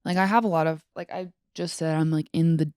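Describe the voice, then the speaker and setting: A woman's voice: deep voice, fairly even intonation, fairly quick, darkish tone, smooth, no echo, studio quality. A woman in her twenties chatting casually about books as a podcast co-host, in an informal way peppered with "like". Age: 20s.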